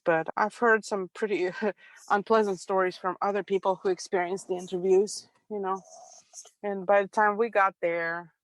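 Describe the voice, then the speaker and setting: A middle-aged woman from Ukraine with a Russian accent, a Russian native speaker telling her story calmly as an interview guest. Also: medium pitch, no echo. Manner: calm, reflective, soft laughs.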